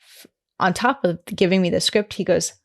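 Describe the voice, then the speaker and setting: A woman in her twenties chatting candidly as a podcast guest, rambling with lots of "like" fillers and animated retellings. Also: lowish voice, normal speed. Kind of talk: candid conversational chat.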